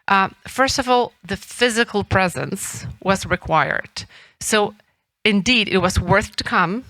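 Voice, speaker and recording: A woman's voice: medium pitch, bright, forceful tone, no echo. A woman lawyer giving a seminar presentation, explaining in a lightly self-deprecating way. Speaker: lawyer, head of legal department. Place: conference room.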